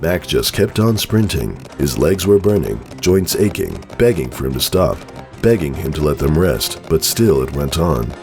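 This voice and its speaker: A man's voice: a bass voice, lively intonation, normal speed, creaky, a little mellow, soft background music. A man narrating a story as a scripted dramatic reading.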